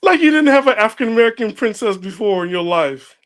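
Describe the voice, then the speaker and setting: Man, high voice, podcast host, joking, laughs a lot. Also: lively intonation, quick pace. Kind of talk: casual chat.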